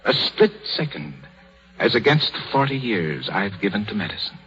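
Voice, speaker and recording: An actor playing an aging, anxious surgeon full of regret and self-pity, husky, in a 1930s radio drama. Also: male voice, lowish voice, big pitch swings, normal speed. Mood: despairing. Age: older. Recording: dull 1930s radio broadcast with music.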